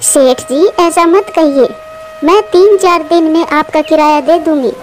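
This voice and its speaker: A woman's voice: sits high, measured pace, soft background music. A woman voicing a poor widowed mother bird in a cartoon, humble and plaintive. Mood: pleading. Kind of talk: Hindi cartoon dialogue.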